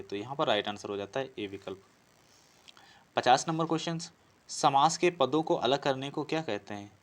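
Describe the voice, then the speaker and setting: A man teaching an exam-prep class, explaining step by step, repeating phrases and answering his own questions. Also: medium pitch. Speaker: teacher for competitive exam Hindi grammar. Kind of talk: classroom lesson working through practice questions.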